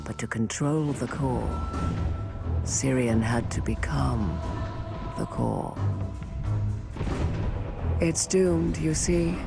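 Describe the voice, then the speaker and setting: An actor playing a gloomy, dramatic sage in a fantasy game, with a theatrical, portentous delivery. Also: male voice, mid-range voice, very slow, vocal fry, long pauses, over loud music, full character voice. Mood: gloomy, urgent. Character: gloomy, dramatic city sage lamenting doom.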